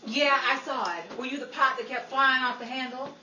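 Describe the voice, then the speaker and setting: A woman playing a brisk, sassy Hollywood showgirl and presenter in old-time radio style. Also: sits high, fairly even intonation, natural voice. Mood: exasperated, sassy. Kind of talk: old-time radio comedy acting and newsflash narration. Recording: echoey, distant recording with faint noise.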